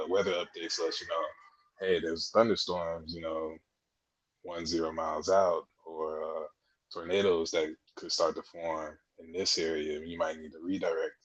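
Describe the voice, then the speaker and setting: Very deep, husky young man. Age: 20s. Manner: explanatory, conversational, frequent "you know". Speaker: podcast guest, air traffic controller trainee. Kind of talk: casual interview answers. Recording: dull, muffled lo-fi audio.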